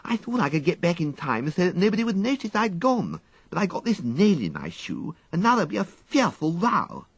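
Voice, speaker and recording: Man putting on a high chirpy English schoolgirl. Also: very animated, a little fast, slightly rough, dry recording, full character voice. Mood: resigned worry. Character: chirpy twelve-year-old English schoolgirl, cheeky and gushing.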